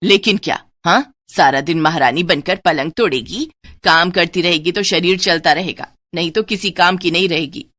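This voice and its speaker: A woman voicing a bossy, taunting middle-aged mother-in-law in a moral-story cartoon, with a sharp, nagging manner. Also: lowish voice, moderate pace, no room sound, studio quality.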